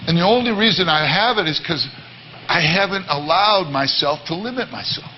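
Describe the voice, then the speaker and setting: A man with a baritone voice giving an earnest, repetitive sermon-style talk to a church congregation. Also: expressive, moderate pace, highs cut off, a little hiss or hum. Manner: earnest, repetitive, many restarts. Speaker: worship leader. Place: church service.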